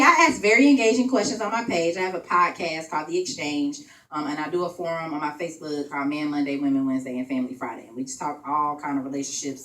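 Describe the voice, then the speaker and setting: A woman's voice: deep voice, fairly bright tone. A woman in her late twenties, a spotlight poet at an open mic, chatting playfully with the crowd between spoken-word pieces. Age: 20s. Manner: chatty and playful with the audience, laughing between bits. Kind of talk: spoken-word poetry and casual stage chat. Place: event venue room. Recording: echoey room, voice from far off.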